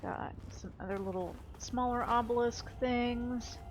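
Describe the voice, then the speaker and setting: A woman's voice: a little high, a little slow, a little hiss or hum. A woman giving casual gameplay commentary, chatty and explanatory, often hedging her thoughts.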